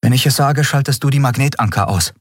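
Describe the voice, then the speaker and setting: A man's voice: mid-range voice, fast, husky, no echo, studio quality, pitched above the performer's own voice, natural voice. A man voicing a nervous young female ship captain in an audiobook who tries to sound casual. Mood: commanding.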